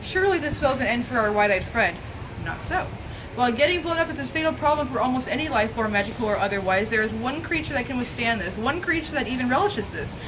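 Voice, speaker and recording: A woman's voice: fairly high pitch, expressive, fast talker, noisy background. A woman giving a dramatic, emphatic reading of a scripted essay in a mock-serious tone. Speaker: reader of a fan zine.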